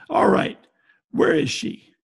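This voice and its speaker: A man acting hardboiled private eye, very creaky and husky, high-pitched, clipped, blunt, radio noir, home call recording. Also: sing-song, extremely slow, heavily acted. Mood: impatient, blunt. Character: hardboiled male private detective, wry and blunt. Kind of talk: old-time radio detective acting and narration.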